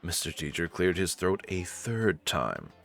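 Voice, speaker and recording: A man's voice: low voice, sing-song, unhurried, gravelly, a bit bright, faint music behind. A man reading game narration aloud as a let's player, adding casual, joking commentary. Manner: joking, conversational.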